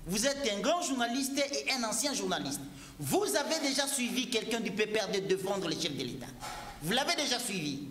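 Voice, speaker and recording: High-pitched insistent man. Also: sing-song, a little fast, fairly bright tone, roomy sound. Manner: insistent, interrupts and repeats points. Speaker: debate guest. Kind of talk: heated political debate.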